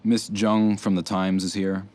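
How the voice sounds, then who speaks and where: A very low, deadpan, dependable handyman, noisy recording. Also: a little slow. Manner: deadpan, clipped. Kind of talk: audio drama acting.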